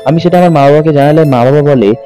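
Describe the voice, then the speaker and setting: A man narrating a ghost story as a calm, steady first-person account told from a woman's point of view. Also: fairly high pitch, fast, soft background music.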